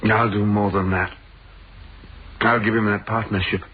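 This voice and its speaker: A contrite, reflective husband in a radio play. His voice is on the low side and he speaks very slowly, hesitating as he owns up. The old recording sounds dull. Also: level tone, breathy edge. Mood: wry, relenting. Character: Robert, contrite, self-reproaching husband. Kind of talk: radio drama acting.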